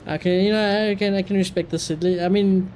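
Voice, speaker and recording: A man chatting casually on a livestream, reacting and ranting in an animated, blunt, sweary way. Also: high voice, normal speed, a little hiss or hum, low-quality recording. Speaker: live streamer.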